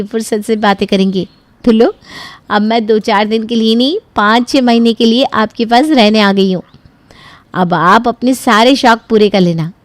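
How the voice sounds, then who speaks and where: एक महिला की आवाज़ में आहत, रूठी युवा बेटी. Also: female voice, on the high side, dry recording, close to an everyday voice. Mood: eager, cheerful. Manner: wounded, complaining. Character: hurt young married daughter, sulky yet polite. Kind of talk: cartoon story dialogue.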